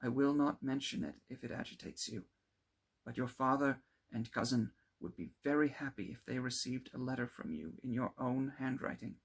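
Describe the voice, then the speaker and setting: Man playing an earnest, alarmed gentleman, pitched high. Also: moderate pace, creaky, husky. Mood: gently earnest. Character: concerned young gentleman, alarmed and earnest loyal friend.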